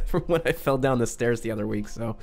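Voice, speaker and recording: A young man from Western New York hosting a casual live stream, a little raspy and congested from a cold, chatty and reading out the chat. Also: medium pitch, expressive, moderate pace, darkish tone, soft background music. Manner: chatty, reads out chat with friendly asides.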